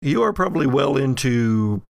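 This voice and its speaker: Gravelly game master. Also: male voice, mid-range voice, very animated, normal speed, dry recording. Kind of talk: tabletop game narration and banter.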